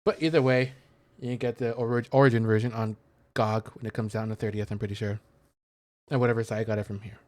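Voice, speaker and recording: A man's voice: on the low side, fairly even intonation, fast talker, darkish tone, no room sound. A man streaming a game with casual, rambling commentary, thinking aloud as he searches for words and reads things out. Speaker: video game streamer and host.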